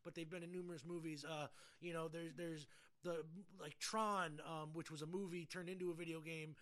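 A woman's voice: low voice, fairly even intonation, moderate pace. A woman hosting a casual podcast chat, talking informally and emphatically with plenty of swearing. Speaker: podcast host.

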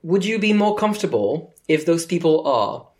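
Low-voiced French-accented woman host. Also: very animated, normal speed, a little mellow. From France. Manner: teasing, playful, scripted opening. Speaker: podcast host. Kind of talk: casual chat.

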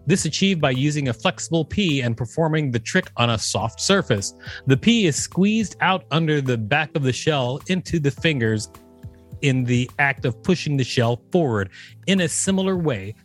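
A man co-hosts a podcast in a mid-pitched voice. He reads an encyclopedia article aloud and drops into casual banter. Faint music plays behind him. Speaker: podcast co-host.

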